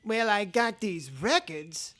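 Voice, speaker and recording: A man's voice: high-pitched, big pitch swings, slow, sharp tone, heavily acted. A man playing a casual, hesitant, hapless peddler of junk in a comic radio advertisement sketch. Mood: casual, hopeful. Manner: hesitant, informal.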